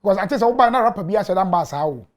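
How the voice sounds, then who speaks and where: A man's voice: sits high, expressive. A man in his thirties with a Ghanaian accent, hosting a TV studio interview and mixing Twi into his English. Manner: quick, mixes Twi with English. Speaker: talk show host. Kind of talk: casual interview talk. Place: tv studio set.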